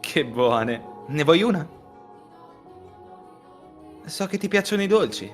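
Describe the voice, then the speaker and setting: A man voicing a courteous, flattering young gentleman guest in a visual novel read-through. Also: fairly high pitch, takes it slowly, faint music behind. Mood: solicitous.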